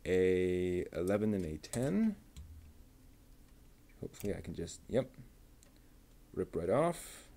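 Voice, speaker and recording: Slow, gravelly man muttering to himself. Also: low-pitched, very animated, dark tone, a little hiss or hum. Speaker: hobbyist building a model kit. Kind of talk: casual running commentary.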